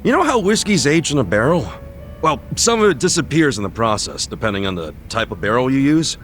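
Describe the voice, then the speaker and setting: A man's voice: medium pitch, big pitch swings, fairly quick, fairly bright tone, a little hiss or hum. An actor playing an easygoing, brash, optimistic man in a video game dub, speaking in slangy, informal dialogue. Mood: animated, casual. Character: easygoing, brash, optimistic street-smart man, a loyal buddy.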